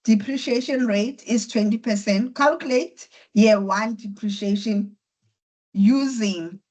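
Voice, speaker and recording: A woman, her voice roughened by flu, leads an online accounting class. She works through each point patiently, step by step, and repeats key figures. Her pitch sits at an ordinary middle height, recorded through a computer mic on a video call. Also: takes it slowly, a little mellow. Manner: patient, step-by-step explaining with repetition. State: voice affected by flu. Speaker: accounting tutor. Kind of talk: online lecture.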